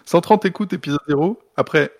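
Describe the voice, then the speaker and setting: Male podcast co-host, creaky voice, loose colloquial banter, close dry sound. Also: on the high side, a little fast, no room sound. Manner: colloquial, joking, sweary. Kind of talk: casual music podcast chat.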